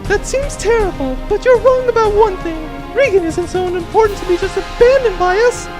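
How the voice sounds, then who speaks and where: A man's voice: very high-pitched, a little fast, music in the background, voice pushed up. A man voicing a fierce, loyal young woman pilot in a comic audio drama, earnest and defiant. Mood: earnest, determined.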